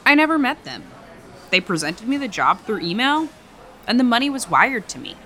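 A brash young woman in an audio drama, her bright voice pitched a little high. She is sarcastic, teasing and unrepentant, with a casual, animated delivery. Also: normal speed. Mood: casual, grudging. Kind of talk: naturalistic audio drama dialogue.

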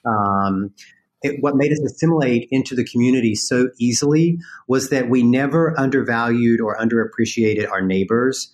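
A man's voice: medium pitch, normal speed. Southern man talking earnestly. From North Carolina. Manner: earnest, thoughtful, many fillers. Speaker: guest, farm business owner. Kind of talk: conversational interview.

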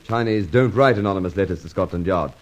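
A man's voice: deep voice, quick pace, close to an everyday voice. A man acting an affable but exasperated Scotland Yard inspector in an old radio drama, with clipped old-school phrasing. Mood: businesslike, puzzled.

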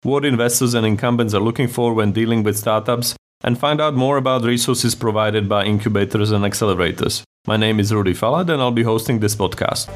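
A man hosting a podcast interview, speaking with hesitant pauses and fillers. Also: fairly low pitch. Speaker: podcast host.

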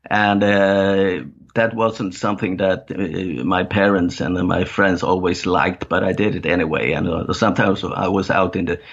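Brief: middle-aged Danish man, deep gravelly voice, hesitant, dull lo-fi sound. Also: steady tone. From Denmark. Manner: halting, many fillers and restarts. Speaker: guest. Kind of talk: casual interview talk.

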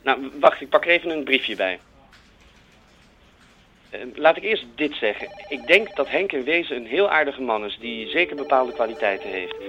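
A man's voice: mid-range voice, lively intonation, moderate pace, bright, forceful tone, dull audio. Awkward diplomatic guy on a difficult call, radio comedy, hesitant, bit of music under. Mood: apologetic. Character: apologetic, reluctant male TV presenter making awkward phone call.

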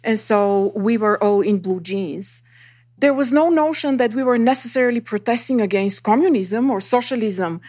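A woman's voice: fairly high pitch, moderate pace, a little mellow. A woman in her fifties from Bulgaria, recalling memories earnestly as a panelist. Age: 50s. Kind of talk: personal recollection on a panel.

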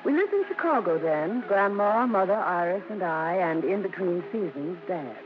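A woman's voice: on the low side, animated, moderate pace, natural voice. Actress as grown daughter narrating a radio play, wry storytelling woman, dull old recording with heavy hiss. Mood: wry reminiscing. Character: grown daughter Mikey recalling her show-business family. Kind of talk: scripted 1950s radio drama narration.

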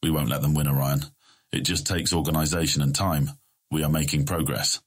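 Very deep, husky scheming merchant. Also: male voice, fairly even intonation. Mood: placating. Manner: formal, measured, placating. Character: scheming, measured male merchant guildmaster, politely persuasive. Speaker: voice actor in audiobook. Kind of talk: full-cast fantasy audiobook acting.

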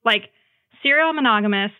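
A woman's voice: a little high, moderate pace, phone-quality sound. A woman in her thirties chatting casually as a podcast guest, emphatic and joking about herself as she tells personal stories. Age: 30s. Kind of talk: casual chat, personal anecdotes.

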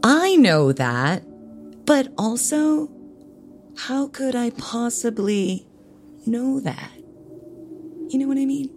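Bossy church woman, comedic audio drama, passive-aggressive sweetness, slow delivery, long echo, music behind. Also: a little high, big pitch swings, full character voice. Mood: suspicious, self-satisfied. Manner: saccharine yet stern, passive-aggressive, dramatic pauses. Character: bossy, prim, judgmental church youth leader woman.

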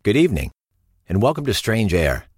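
A man's voice: lowish voice, sing-song, a little fast. An actor playing a man who hosts a late-night paranormal radio call-in show, with a showman's delivery. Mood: inviting, mysterious. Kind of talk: radio host monologue.

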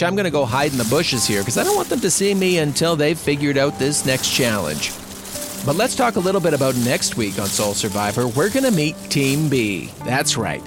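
An actor playing an excitable reality-show host, giving showy commentary in an audio drama. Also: male voice, fairly high pitch. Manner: hyped, showy announcer delivery with dramatic swoops. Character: excitable male reality-show host narrating an island contest.

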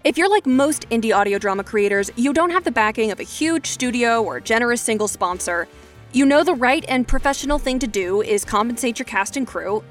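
A woman's voice: a little high, lively intonation, brisk, faint music behind. A woman hosting a podcast, delivering scripted, conversational how-to narration with wry, self-aware asides. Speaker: podcast host.

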